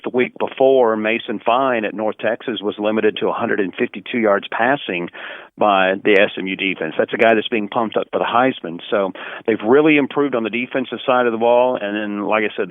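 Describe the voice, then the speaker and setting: A man's voice: lowish voice, dry recording, phone-quality sound. A man who works as a play-by-play announcer giving detailed, articulate sports commentary as an interview guest, conversationally.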